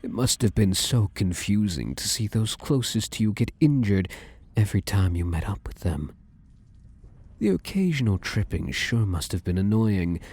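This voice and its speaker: A man voicing a possessive, domineering male shadow spirit in an ASMR roleplay, speaking slowly and menacingly and often slipping into whispers. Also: on the low side, dark tone, slightly rough. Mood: taunting. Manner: slow, menacing, drifts into whispers.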